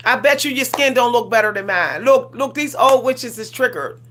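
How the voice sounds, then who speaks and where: A woman's voice: on the high side, lively intonation, normal speed, a bit bright, a little hiss or hum. A woman, ranting casually on her livestream with sass, repeating herself and laughing often. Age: 40s. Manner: sassy, repetitive, laughs often, colloquial. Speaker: livestream host.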